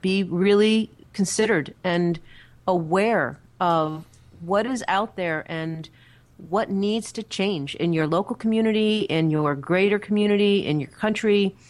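A woman speaking as a talk show panelist, conversational and earnest, slightly halting as she works out her thoughts. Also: medium pitch. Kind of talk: conversational discussion.

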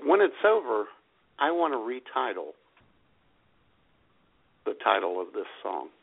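A man's voice: lowish voice, very animated, a little slow, vocal fry, husky. A man co-hosting an internet radio show with casual, joking, irreverent banter. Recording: tinny, phone-like internet radio sound.

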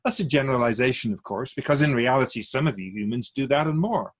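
A man playing an otherworldly keeper of souls in a radio drama, a wise and patient teacher who explains in measured, philosophical questions. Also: medium pitch, slightly rough, lightly acted. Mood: earnest, probing.

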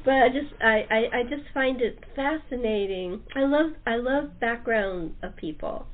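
High-voiced woman, tinny phone-like sound. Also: unhurried. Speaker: talk show host. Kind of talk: casual interview chat. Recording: tinny narrow phone-like line.

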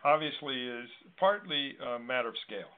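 A man hosting a conversational interview, thinking aloud in long, halting, wordy sentences. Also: medium pitch, lively intonation. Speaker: interview host. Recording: narrow, tinny phone-like line.

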